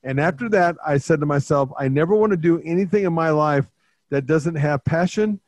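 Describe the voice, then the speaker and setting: A man's voice: fairly high pitch, a little fast, darkish tone, dry recording. An older man hosting a casual interview, telling stories and giving emphatic advice. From Portuguese family, dairy upbringing. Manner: emphatic, storytelling, gives advice. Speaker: host, bodybuilding coach.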